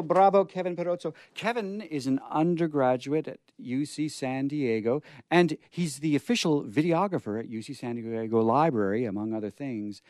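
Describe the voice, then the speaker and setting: A man's voice: mid-range voice, dry recording, clean studio sound. A man hosting a whimsical live show in a playful, theatrical and effusive manner. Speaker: host.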